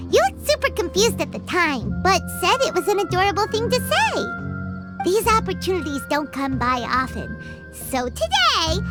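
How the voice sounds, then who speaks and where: A tiny chirpy fairy companion girl for a video game, speaks of herself in the third person. Her voice is extremely high and leaps wildly in pitch. Loud game music plays beneath her. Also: heavily acted. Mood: gentle.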